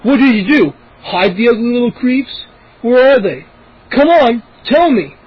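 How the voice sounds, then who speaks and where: A man's voice: extremely high pitch, animated, normal speed, no room sound, dull audio, pitched above the performer's own voice. A man reading an audiobook voices a big, pushy boy who teases and bullies his neighbor. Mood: taunting. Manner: taunting, pushy.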